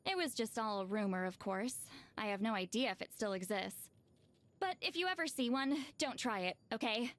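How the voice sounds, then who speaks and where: A youthful, high-voiced shaman woman from a video game. Her delivery is very animated, swinging up and down in pitch. She sounds proud yet socially awkward, with a hesitant, slightly huffy way of explaining herself. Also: normal speed. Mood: musing. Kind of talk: animated game character voice lines.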